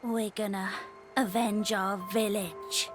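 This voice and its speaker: A woman's voice: mid-range voice, level tone, slow, music in the background, close to an everyday voice. A woman voicing a stubborn, defiant boy of about ten in a video game cutscene. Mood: sullen.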